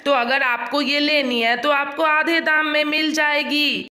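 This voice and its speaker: A woman's voice: sits high, little pitch movement, unhurried, sharp tone. A woman voicing a cautious male builder in a cartoon story, apologetic and cautioning. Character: cautious male builder warning about haunted property. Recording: roomy echo.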